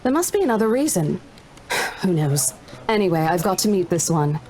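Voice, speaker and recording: An actress voicing a brash, playful saleswoman colleague in a visual novel game, with a blunt, expressive way of talking. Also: on the low side, normal speed. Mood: casual, blunt. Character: brash, teasing saleswoman colleague, switching to professional politeness.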